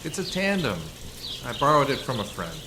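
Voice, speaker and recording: A man's voice: mid-range voice, sing-song, moderate pace, lightly acted. A man playing a breezy, reassuring companion on a country outing in a radio drama. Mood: relaxed, breezy. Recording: loud birdsong and vehicle noise.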